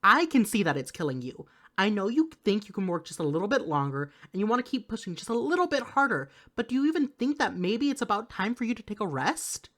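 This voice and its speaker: A woman's voice: medium pitch, very animated, quick pace, few pauses, fairly bright tone. A woman playing a worried, exasperated partner in an intimate audio roleplay, speaking to the listener with earnest, caring reproach. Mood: worried, pleading. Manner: earnest, pleading, caring scolding.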